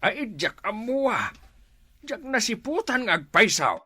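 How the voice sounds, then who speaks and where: Older busybody neighbor for village comedy male actor: husky, bright-edged voice, exclamatory and meddling. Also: sits high, sing-song, normal speed, exaggerated voice. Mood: gossiping. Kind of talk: Ilocano barangay comedy drama acting.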